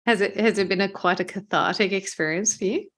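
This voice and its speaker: A woman's voice: mid-range voice, a little fast, a bit bright. A woman hosting a podcast, asking interview questions in a conversational style.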